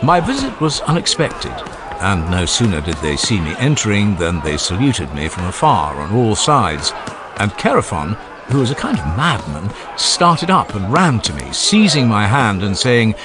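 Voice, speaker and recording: A man narrating a classic literary dialogue as a dramatized audiobook, in a measured, expressive storytelling manner. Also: on the low side, over music, a crowd in the background. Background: faint ambient background sound.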